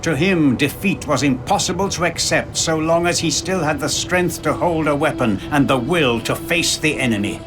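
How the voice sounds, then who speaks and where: An actor playing a grave war chronicler, narrating a video game in a formal, portentous manner. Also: male voice, a little high, moderate pace, few pauses, music in the background, lightly acted. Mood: solemn. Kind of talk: scripted dramatic game narration.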